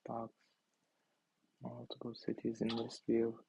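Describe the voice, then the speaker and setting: A young man from Turkey with a Turkish accent, thinking aloud in halting, filler-heavy speech while he reviews an app on screen. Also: mid-range voice, completely flat delivery, creaky, warm tone, highs cut off, slight background noise. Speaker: indie maker reviewing an app. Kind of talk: casual screen-share commentary.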